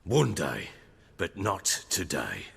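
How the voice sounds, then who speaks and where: An actor playing a middle-aged lord in a video game, a proud, hard-drinking, volatile man with a rough voice and a coarse, brooding, theatrical manner. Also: low-pitched, huge pitch swings, slow pace, a bit bright, long reverb tail, a little hiss or hum, exaggerated voice. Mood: bitter, brooding. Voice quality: gravelly, rough.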